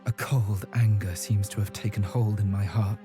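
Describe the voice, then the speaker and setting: Man acting low, devout medieval chronicler. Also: fairly even intonation, normal speed, lightly acted. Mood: brooding, solemn. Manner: solemn, pious. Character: devout, brooding medieval warrior chronicling a quest. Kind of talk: dramatic scripted narration in a game. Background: music.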